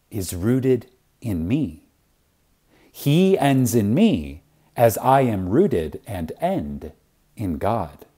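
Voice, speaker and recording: A man reading a spiritual lecture like a sermon, reverent and emphatic, with deliberate pauses for effect. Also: mid-range voice, warm tone.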